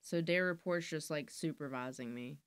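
A woman's voice: low-pitched, moderate pace, crowd noise. A woman, a guest talking bluntly and matter-of-factly in a candid interview, recorded on a studio mic. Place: podcast studio, indoors. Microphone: studio mic on boom arm.

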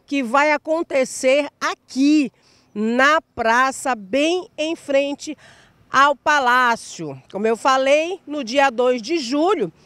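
A woman's voice: sits high, takes it slowly, fairly bright tone, no room sound. A Brazilian woman in her thirties giving an upbeat live TV field report on a handheld mic in an outdoor square, stretching out key words for emphasis. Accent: Brazilian Portuguese. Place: outdoor public square in sunshine.

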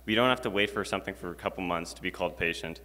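A man's voice: deep voice, racing, pushed and bright. A teenage boy giving a prepared chapel talk at a stand mic, earnest and reading from notes with casual fillers. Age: teens. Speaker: student speaker. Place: school chapel, large indoor space. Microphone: stand mic at a lectern. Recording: long hall reverb.

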